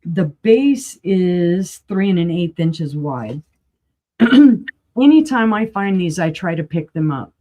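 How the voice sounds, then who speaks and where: A woman running a live auction sale, chatty and enthusiastic, with a voice that is going hoarse. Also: on the low side, very animated, slow pace, mellow. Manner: chatty, enthusiastic, rambling asides. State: losing her voice, clearing throat.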